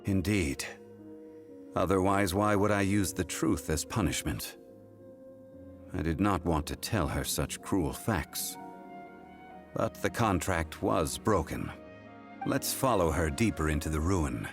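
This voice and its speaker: A man voicing a calm, formal, ancient gentleman who speaks in measured, deliberate sentences in a video game. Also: deep voice, soft background music, full character voice. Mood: stern. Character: ancient, composed male consultant of contracts.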